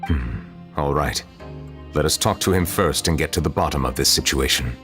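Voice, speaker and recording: An actor voicing a calm, stern male judge in a video game who speaks in a measured, formal way. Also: extremely low pitch. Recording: game audio with soft music behind.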